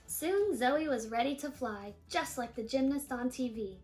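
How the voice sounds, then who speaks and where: A woman with a high voice reads a children's picture book aloud. Her delivery is animated, drawing out important words for effect. Faint music plays along with the reading. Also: unhurried. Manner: animated storytelling, stressing and stretching key words. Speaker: storybook reader.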